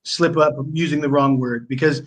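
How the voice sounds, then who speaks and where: Male co-host, slightly high voice, hesitant and hedging, casual talk. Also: steady tone, moderate pace. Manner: hesitant, restarts and hedges. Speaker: sports betting co-host. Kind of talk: casual sports betting talk.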